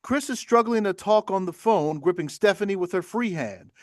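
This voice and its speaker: Man for stage-direction reading, highish pitch, scripted table-read narration. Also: animated, moderate pace.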